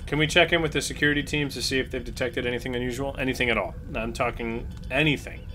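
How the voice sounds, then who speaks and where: Man, tabletop player and narrator, playful, casual restarts, mid pitch, backing music. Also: steady tone, quick pace, bright, forceful tone. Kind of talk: scripted intro then casual game chat.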